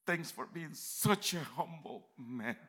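A man from America, preaching a sermon in a church, emphatic and swinging between quiet reflection and loud fervent peaks. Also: a little high, lively intonation, takes it slowly. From Central America. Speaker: visiting preacher.

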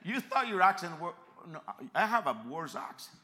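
A man from America, preaching a sermon in a church, emphatic and swinging between quiet reflection and loud fervent peaks. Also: sits high, animated, normal speed. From Central America. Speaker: visiting preacher.

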